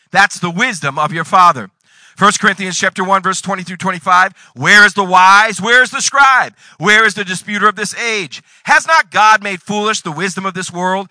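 Male pastor, forties, high voice, dry close sound, dynamic sermon delivery. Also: no echo. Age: 40s. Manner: preacher's dynamic delivery with sudden loud bursts and Bible readings.

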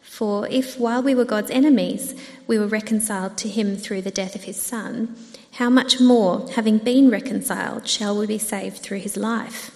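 A woman reading scripture aloud to a church, in measured, clearly phrased public reading. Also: mid-range voice, fairly even intonation, darkish tone. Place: church hall. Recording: long hall reverb.

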